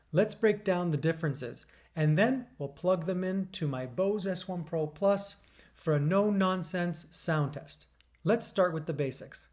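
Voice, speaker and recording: A man talks through an audio gear review with a smooth, radio-DJ delivery. His voice sits a little higher than most men's, and he keeps things upbeat and punchy, slipping in wry quips. It is recorded close on a condenser mic indoors. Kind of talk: scripted product review narration. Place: indoors beside a PA speaker. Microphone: USB condenser mic.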